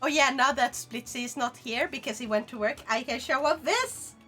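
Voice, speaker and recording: Bright high-voiced Swedish woman streaming. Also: normal speed, husky, soft background music. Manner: chatty and playful, talking to chat while playing. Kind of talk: casual gaming livestream chat.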